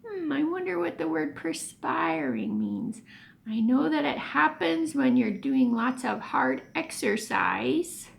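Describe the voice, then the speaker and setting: A woman teacher reading a children's story aloud expressively, pausing for emphasis and explaining things as she goes. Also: high voice, very animated, vocal fry.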